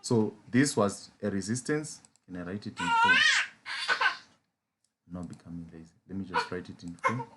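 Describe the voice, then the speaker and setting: Deep-voiced Zimbabwean man teaching trading. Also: huge pitch swings, normal speed, very noisy. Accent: Zimbabwean English. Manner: asks rhetorical "as a what?" questions. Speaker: trading mentor. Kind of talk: live trading lesson.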